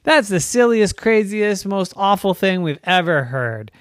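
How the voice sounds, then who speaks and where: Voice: medium pitch, big pitch swings, unhurried, dry recording, studio quality. A narrator doing a gang of rowdy bowling pins. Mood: mocking. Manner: boisterous, cheering, teasing. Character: rowdy excited bowling pins, bossy and mocking. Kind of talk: storybook voice acting.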